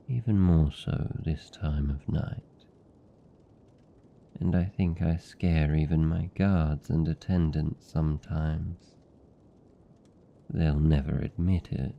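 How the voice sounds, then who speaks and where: A man voicing a lonely, tender and possessive vampire count in a British accent, speaking softly and soothingly in an intimate ASMR roleplay. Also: a bass voice, creaky, dull audio, full character voice. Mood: wistful, lonely. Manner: soft, slow, soothing, lingering pauses.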